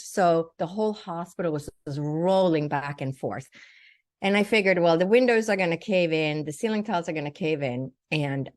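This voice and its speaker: A woman's voice: deep voice, a little mellow, no room sound, studio quality. An older woman from Sweden tells a personal story in vivid detail, as a guest in an interview. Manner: vivid, detailed telling with acted-out quotes. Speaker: guest, naturopathic doctor and spiritual teacher.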